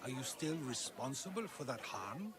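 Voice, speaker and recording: An actor playing an earnest philosopher of ancient Greece in a video game, arguing deliberately like an orator. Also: male voice, mid-range voice, big pitch swings, a crowd in the background, close to an everyday voice. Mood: probing. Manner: questioning, oratorical, deliberate argument. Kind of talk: video game cutscene acting.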